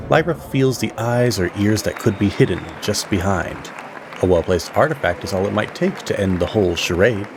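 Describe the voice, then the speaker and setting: A man narrating an audio drama as storyteller, with measured, theatrical pauses. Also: fairly low pitch.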